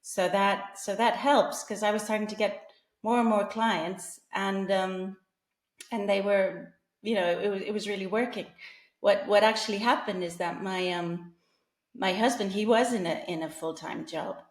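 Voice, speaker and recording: A woman's voice: medium pitch, steady tone, normal speed, echoey room. A woman in her forties from England, talking casually as an interview guest, with many fillers and restarts. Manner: chatty, frequent fillers and restarts, laughs often.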